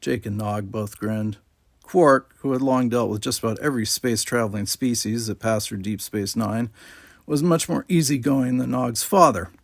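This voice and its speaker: A man's voice: mid-range voice, normal speed, vocal fry, no room sound. Man narrating a story, very dry sound. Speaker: amateur audiobook reader.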